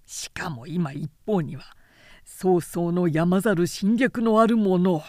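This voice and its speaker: A woman's voice: on the low side, a little slow, darkish tone, dry recording, clean studio sound, close to an everyday voice. A woman voicing a stern, loyal male retainer who remonstrates formally and earnestly with his lord in an audiobook. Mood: grave pleading. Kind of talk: formal audiobook character reading.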